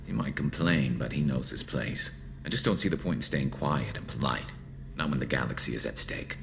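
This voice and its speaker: Very deep gravelly alien soldier. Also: male voice, noisy background. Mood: frank, steady. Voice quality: gravelly, resonant metallic rasp. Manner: dry, wry, measured. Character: battle-hardened male alien soldier, dry-witted, loyal, brooding. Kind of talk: video game voice acting.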